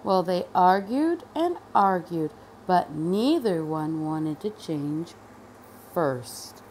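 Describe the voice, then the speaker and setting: A woman reading a children's storybook aloud expressively, with deliberate, dramatic emphasis on key words. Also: lowish voice, lively intonation, a little mellow, slight background noise. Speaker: storybook reader.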